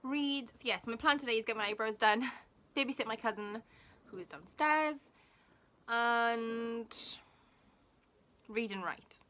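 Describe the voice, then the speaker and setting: Welsh girl in her 20s rambling on in a vlog, kinda high voice, bright and forward. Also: slow pace, pauses often. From Wales. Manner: chatty, rambling, giggly asides. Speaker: vlogger. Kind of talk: casual vlog chat.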